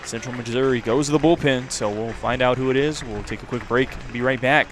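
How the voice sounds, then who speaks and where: A man's voice: medium pitch, fairly quick, some chatter behind. A man giving live play-by-play commentary as a baseball radio broadcaster. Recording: clear background noise. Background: outdoor ballpark ambience.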